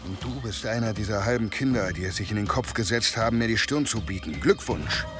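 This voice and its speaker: An actor playing a weary, gruff former berserker warrior in a German audio drama, terse and grimly resigned. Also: male voice, fairly low pitch, a little fast, over music, natural voice.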